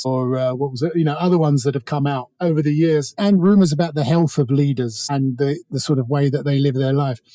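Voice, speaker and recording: A man's voice: on the high side, warm tone, dry recording. A man speaking as an interview guest, giving informal, discursive analysis with a wry edge. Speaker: interview guest, author on North Korea.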